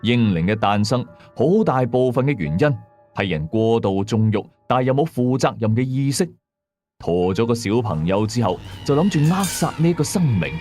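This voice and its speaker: A man's voice: mid-range voice, lively intonation, normal speed, a little mellow, lightly acted. A man playing a veteran exorcist who narrates his ghost cases in Hong Kong Cantonese, explaining and moralizing to listeners in a radio horror story. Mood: ominous. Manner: explanatory, conversational asides to listeners. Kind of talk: scripted first-person horror storytelling. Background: music.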